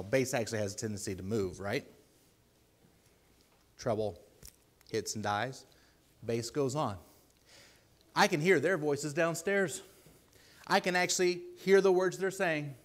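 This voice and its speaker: A man with a deep voice preaching a sermon in a church, earnest and pleading, with loud emphatic bursts. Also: a little slow, long pauses. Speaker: lay preacher.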